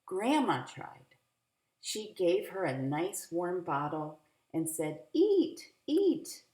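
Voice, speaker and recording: A woman reading a picture book aloud to young children in an animated, teacherly way, adding little asides. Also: lowish voice. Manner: animated, teacherly, drawn-out phrasing. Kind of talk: expressive read-aloud with asides to young listeners. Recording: roomy home recording with echo.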